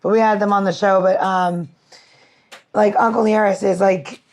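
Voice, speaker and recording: Female podcast co-host, noticeable vocal fry, mid-pitched, informal and effusive, rambling chat. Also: steady tone, moderate pace, darkish tone. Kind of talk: casual chat, interview.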